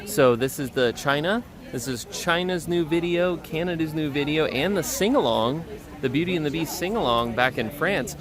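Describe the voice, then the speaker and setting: A man with a slightly hoarse voice chats casually to viewers and friends as he hosts a live stream. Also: a little high, expressive, normal speed, a crowd in the background. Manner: chatty, addresses viewers and friends. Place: outdoors at a busy theme park.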